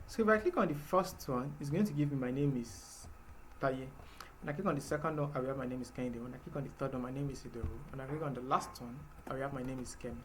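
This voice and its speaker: A man's voice: medium pitch, quick pace, slight background noise. A man teaching a live programming class, explaining patiently step by step with fillers. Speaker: programming instructor.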